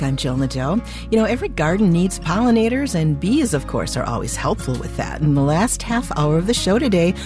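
A woman's voice: lowish voice, a little mellow. A woman hosting a gardening call-in radio show, opening it in a friendly, conversational way.

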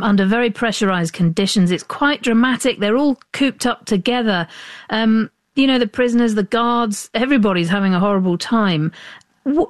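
A woman's voice: mid-range voice, dry recording. A woman hosting an arts radio interview, asking long questions full of restarts and fillers. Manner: hesitant, many restarts and fillers. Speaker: radio host.

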